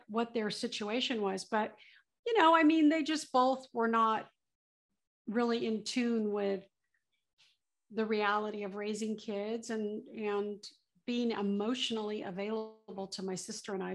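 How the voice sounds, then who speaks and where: A woman's voice: on the high side, fairly even intonation, normal speed. Chatty Californian woman guest, candid. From Southern California. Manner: candid, chatty, with frequent fillers. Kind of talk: casual interview chat.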